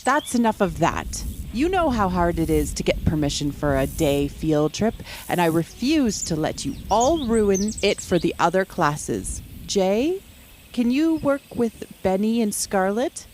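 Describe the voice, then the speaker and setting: A woman's voice: mid-range voice, sing-song, a little slow, darkish tone, slight background noise. A woman playing a stern, exasperated schoolteacher in an audio drama. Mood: firm, exasperated. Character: stern, exasperated female teacher chaperoning students.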